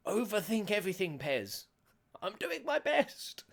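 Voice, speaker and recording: A man giving casual, excitable live commentary on game cutscenes, thinking aloud in questions. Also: high-pitched, measured pace, gravelly. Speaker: host reacting to video game cutscenes.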